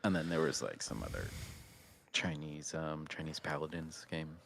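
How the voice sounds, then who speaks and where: A man's voice: a bass voice, animated, moderate pace, vocal fry, a bit bright, no echo. A man, chatting casually as a podcast co-host in a laid-back, matter-of-fact way. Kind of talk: casual chat.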